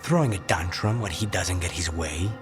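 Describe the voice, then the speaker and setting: Guy acting a tired guarded game companion haunted by a demon, lowish voice, music behind. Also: normal speed, lightly acted. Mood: dry, bitter.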